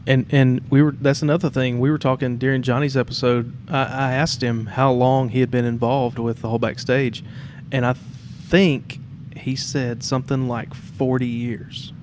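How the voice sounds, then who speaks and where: A man's voice: mid-range voice, level tone, normal speed, a little mellow. A man hosting a podcast in an informal, conversational way, recorded in a shared studio space in a theater. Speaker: podcast host. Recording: clear background noise.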